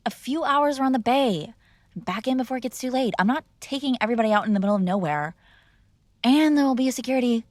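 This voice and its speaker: A woman's voice: medium pitch, darkish tone, no echo, studio quality, lightly acted. A woman playing a mob boss's spoiled, bratty young adult daughter in an intimate roleplay, speaking casually with sarcastic, exasperated argument. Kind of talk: intimate ASMR roleplay acting, one-sided conversation.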